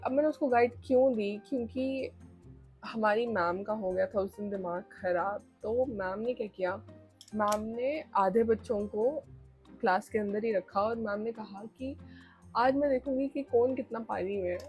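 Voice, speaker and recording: A woman's voice: medium pitch, a little mellow. A young woman with an Indian accent telling a personal story in a casual, chatty way, mixing in English and repeating phrases for emphasis. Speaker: storytime vlogger.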